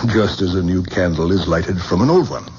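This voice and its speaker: A man's voice: deep voice, normal speed, darkish tone, natural voice. Guy doing a formal old-school occult professor in a radio drama, lectures a lot, muffled hissy broadcast. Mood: lecturing. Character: older male scholar of the occult, patient, lecturing. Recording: dull old radio broadcast with faint hiss.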